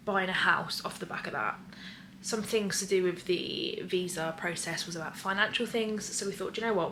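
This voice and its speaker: Casting: young English woman, faint Australian traces, bright voice, easy rambling vlog chat, faint room hum. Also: lowish voice. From England. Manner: chatty, rambling, many fillers. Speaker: vlogger. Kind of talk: casual chat, Q&A.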